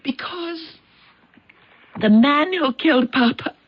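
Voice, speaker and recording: A woman's voice: high-pitched, animated, takes it slowly. An actress playing a grieving, stubborn young working woman in old-time radio drama, hesitant yet defiant. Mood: vengeful. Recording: dull lo-fi radio recording.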